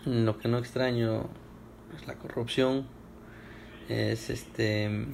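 A man's voice: medium pitch, little pitch movement, slow pace, vocal fry, darkish tone, slight background noise. A young man from Ecuador answering oral history questions at home, hesitant with many fillers and restarts. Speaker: interviewee.

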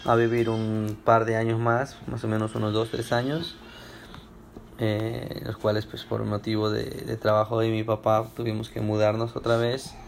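A man's voice: on the low side, gravelly, slight background noise. A young man from Ecuador answering oral history questions at home, hesitant with many fillers and restarts. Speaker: interviewee.

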